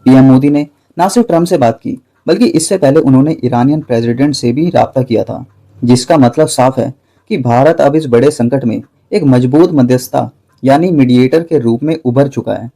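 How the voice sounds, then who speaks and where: Man, very mellow dark voice, creaky edge, scripted dramatic news narration. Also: medium pitch, normal speed.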